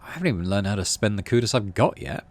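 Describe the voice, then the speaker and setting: A man's voice: fairly low pitch, expressive, fast talker. A man casually hosting a game playthrough, reading narration aloud and muttering his thinking as he plays. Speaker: gaming channel host.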